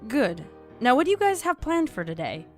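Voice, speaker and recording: A gently firm, motherly cartoon mare, acted by a woman, speaks in a fairly high, lilting voice. Faint music runs underneath. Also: big pitch swings, normal speed. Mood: pleased. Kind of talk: cartoon fan fiction audio drama.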